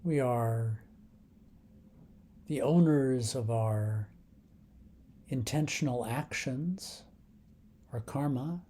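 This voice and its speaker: A man leading a guided meditation in a calm, unhurried manner, leaving long pauses between short phrases. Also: mid-range voice.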